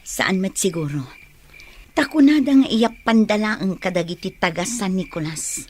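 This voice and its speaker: A woman's voice: mid-range voice, animated, moderate pace, darkish tone, a little hiss or hum, lightly acted. A woman with an Ilocano Filipino accent playing a scheming, commanding elder sister in a radio drama. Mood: hushed, scheming.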